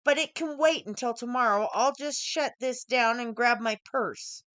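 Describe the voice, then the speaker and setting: A woman voicing a polite, easily surprised female programmer who speaks haltingly in an audiobook story. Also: on the high side, a bit bright, no echo, natural voice. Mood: flustered. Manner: hesitant, halting, eager to please. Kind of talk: audiobook character dialogue.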